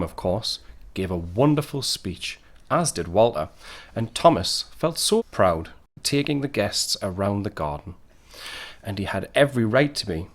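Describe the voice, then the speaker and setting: A man playing a young dead soldier son who wistfully narrates an audio drama. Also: on the low side.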